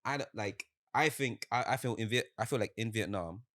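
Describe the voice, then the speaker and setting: A man's voice: fairly low pitch, fairly quick, fairly bright tone, no room sound, clean studio sound. A young man with a Multicultural London English accent, co-hosting a podcast in laid-back, slangy banter. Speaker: podcast co-host. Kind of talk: casual podcast chat.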